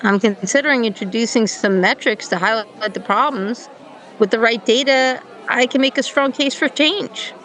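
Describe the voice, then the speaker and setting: A woman's voice: on the high side, normal speed, a bit bright, some chatter behind, lightly acted, rough recording. A woman playing a frustrated, thoughtful company director in a radio play, speaking in a hesitant, conversational way. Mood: frustrated, earnest.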